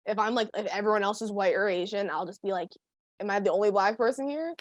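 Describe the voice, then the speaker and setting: A woman's voice: medium pitch, quick pace. A teenage girl, a guest, chatting casually with many fillers and laughs. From United States. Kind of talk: casual chat.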